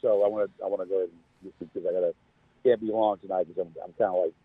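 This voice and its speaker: Halting man on a thin phone line. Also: low voice, flat delivery, slightly rough, dry recording. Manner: halting, repetitive, rambling. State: under the weather, with a migraine. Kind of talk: casual phone conversation. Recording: thin, phone-like call audio.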